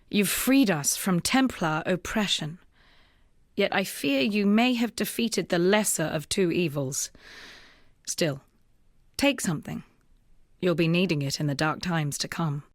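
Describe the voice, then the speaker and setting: Woman acting as young female rebel fighter, video game character, lowish pitch, dry close sound, weary, solemn, measured. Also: dry recording, natural voice. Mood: grave, foreboding. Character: wounded young woman rebel, freed slave, earnest and weary.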